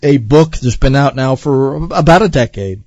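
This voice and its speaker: A man's voice: medium pitch, animated, moderate pace, a little mellow, no echo, highs cut off. A man hosting a radio talk show, lecturing earnestly with repeated phrases and frequent fillers. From Ireland.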